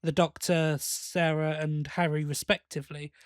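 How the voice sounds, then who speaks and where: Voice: mid-range voice, little pitch movement, moderate pace, no room sound, clean studio sound. A podcast host in casual review chat, opinionated and emphatic in complaint.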